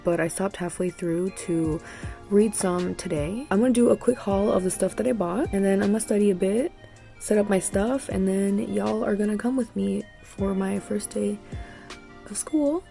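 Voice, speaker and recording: A woman's voice: fairly low pitch, warm tone. Young woman rambling into her phone at home, music playing under her. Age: young adult. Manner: chatty, rambling with fillers. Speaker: student vlogger. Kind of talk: casual vlog chat. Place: home room under a loft bed. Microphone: phone camera on a couch.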